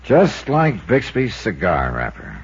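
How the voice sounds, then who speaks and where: Tough american detective, real low voice that moves around a lot, old muffled radio show. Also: male voice, huge pitch swings, takes it slowly, husky, heavily acted. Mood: businesslike, wry. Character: tough, probing male insurance investigator. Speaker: lead character and narrator. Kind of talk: 1950s radio drama acting. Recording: muffled vintage 1950s radio recording.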